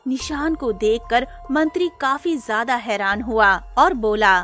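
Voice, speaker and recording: Slow-paced woman storyteller. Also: fairly high pitch, fairly bright tone. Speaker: narrator. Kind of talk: scripted children's-style story narration. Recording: music under the voice.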